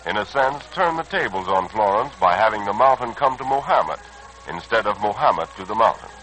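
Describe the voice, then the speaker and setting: A man's voice: on the low side, expressive, a little fast, fairly bright tone, slight background noise, rough recording. A man narrating a 1940s radio serial as its announcer, formal and dramatic in delivery. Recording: old 1940s radio recording. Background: music.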